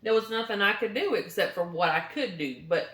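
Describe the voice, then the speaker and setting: A woman's voice: medium pitch, lively intonation, a little fast, a bit bright, echoey room. A woman talking casually with her husband about faith and marriage, chatty and agreeable, laughing often. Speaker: co-host, wife.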